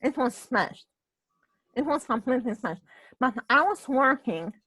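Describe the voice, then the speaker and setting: Husky Polish-accented woman, high voice. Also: animated, a bit bright, no room sound, rough recording. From Poland. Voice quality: husky voice, ongoing voice problem. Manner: halting, restarts phrases, tags questions with "right?". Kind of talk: casual personal storytelling.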